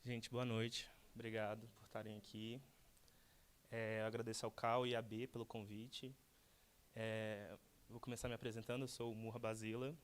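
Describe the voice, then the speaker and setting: A man's voice: fairly low pitch, flat delivery, normal speed, clear, resonant tone. A Brazilian man in his thirties giving a talk as an architect, in a conversational way with many restarts and repeated words. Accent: Brazilian Portuguese. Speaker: guest speaker, architect. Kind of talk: lecture told as casual conversation.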